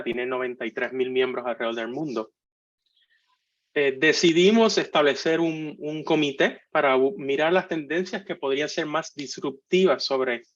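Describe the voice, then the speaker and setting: A Puerto Rican man gives a talk over a video call, his voice pitched in an everyday middle range. He speaks with a joking ease, stumbling through fillers and restarts. The audio is slightly muffled and dull. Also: a bit bright. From Puerto Rico. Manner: jocular, hesitant with many fillers and restarts. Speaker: guest speaker. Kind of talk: webinar talk.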